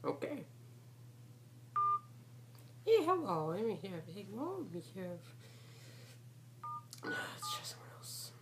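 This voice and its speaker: A man's voice: high voice, wildly swooping pitch, takes it slowly, long pauses. A man talking casually and crudely, full of profanity, as he hosts his own prank call video. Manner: crude, joking, rambling. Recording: noisy homemade call recording.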